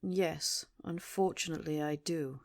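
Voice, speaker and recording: A woman's voice: low-pitched, a little slow, a little mellow, no room sound. A woman playing a coldly menacing, imperious boss in an audio drama, formal and precise in her speech. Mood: grim. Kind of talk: audio roleplay acting.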